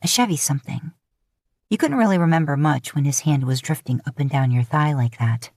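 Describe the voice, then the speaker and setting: A woman with a low voice narrates romantic fan fiction in the second person. The recording is dry and close, and the delivery is that of a scripted audio story. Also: expressive, fairly quick, a little mellow, no echo. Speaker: podfic narrator.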